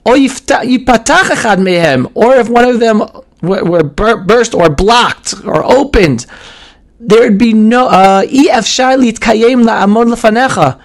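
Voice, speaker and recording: A hoarse man in his forties teaching in a casual live stream from a small room at home, talking emphatically and often repeating himself. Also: extremely high pitch, moderate pace. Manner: animated and emphatic, with frequent repeats and restarts. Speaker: video host teaching about prayer. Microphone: webcam, close framing.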